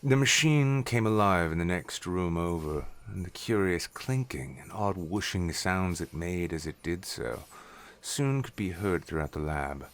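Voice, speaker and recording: A man's voice: deep voice, animated, a little slow, a bit bright. A man narrating a scripted story in the third person.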